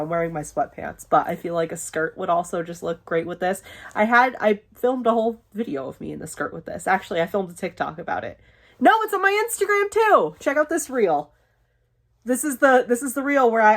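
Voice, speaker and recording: Young woman, vlogger, rambling casual chat, sniffles, a little hoarse, bedroom. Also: medium pitch. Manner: rambling, lots of likes and swearing. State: sniffly, losing her voice. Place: bedroom, window open.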